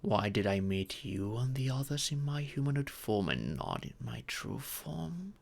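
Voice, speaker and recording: Man voicing a slow, creaky, gentle dragon parent. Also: mid-range voice, sing-song, heavily acted. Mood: pensive, grave. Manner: formal, measured, patient, explanatory. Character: gentle ancient dragon in humanoid form, doting adoptive parent. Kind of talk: scripted audio roleplay monologue.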